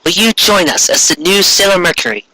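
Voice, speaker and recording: A man voicing a solemn, gentle queen with a formal, measured, motherly manner in a fan fiction reading. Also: sits high, expressive, dry recording, heavily acted, poor audio.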